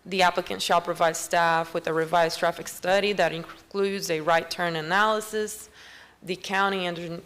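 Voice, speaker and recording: A woman's voice: fairly low pitch, a bit bright. A woman on county planning staff, formally reading a staff report at a public meeting while losing her voice. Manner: formal, reads steadily with small restarts and clears her throat.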